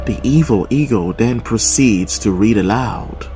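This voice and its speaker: A man reading a dramatized story as scripted narration, with deliberate, measured and expressive phrasing. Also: fairly low pitch, music in the background.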